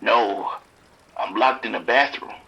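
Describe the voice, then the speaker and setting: An actor playing a streetwise pimp trapped in a motel bathroom, blunt and grudging with the police. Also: male voice, on the low side, unhurried, vocal fry, sharp tone, breathy edge, highs cut off, full character voice. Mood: shaken. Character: streetwise male pimp hiding from attackers, bitter toward police.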